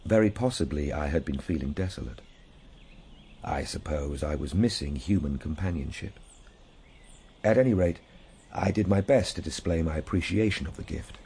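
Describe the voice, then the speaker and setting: An actor playing an earnest, reflective gentleman time traveller in a radio drama, moving between measured storytelling and simple, tender coaxing. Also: very deep voice, lively intonation, vocal fry, a little hiss or hum, heavily acted. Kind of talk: radio drama narration and acting.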